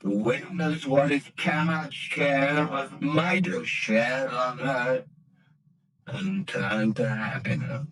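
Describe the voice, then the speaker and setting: An actor playing the solemn ghost of a dead businessman in a vintage radio play, slow, grave and hushed. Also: medium pitch, fairly even intonation, a crowd in the background, lightly acted. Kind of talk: vintage radio drama acting. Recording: old radio play with music. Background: music.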